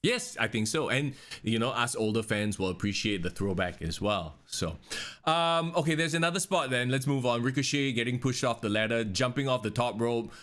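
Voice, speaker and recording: A man, co-hosting a casual wrestling podcast, talking in an animated way and swearing casually. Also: medium pitch, normal speed. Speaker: podcast co-host.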